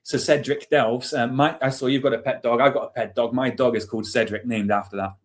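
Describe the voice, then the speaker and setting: A man's voice: mid-range voice, fairly even intonation, a little fast. A man in his forties with a British accent, telling stories casually and chattily as an interview guest, with some self-deprecation. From England. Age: 40s. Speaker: interview guest, army veteran. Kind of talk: casual storytelling.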